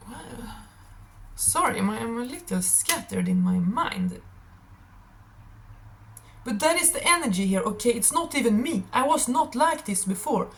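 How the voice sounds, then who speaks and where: Slightly raspy woman rambling over background noise. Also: on the high side, measured pace, long pauses, poor audio. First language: not English. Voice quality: a little raspy. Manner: scattered, animated storytelling. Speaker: tarot reader hosting a stream. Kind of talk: casual rambling monologue.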